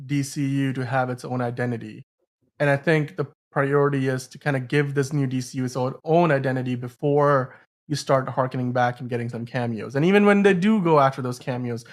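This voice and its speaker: Man hosting a casual movie podcast. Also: mid-range voice. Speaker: podcast host.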